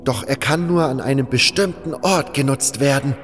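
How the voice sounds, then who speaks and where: Haughty zealot priest for fantasy radio play, man performing, solemn proclamations, lifted pitch, music underneath. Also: normal speed, fairly bright tone, in a large hall, natural voice. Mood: solemn, insistent. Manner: pompous, scornful, solemn proclaiming. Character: fanatical, haughty male cult priest of a sleeping god. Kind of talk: fantasy audio drama acting.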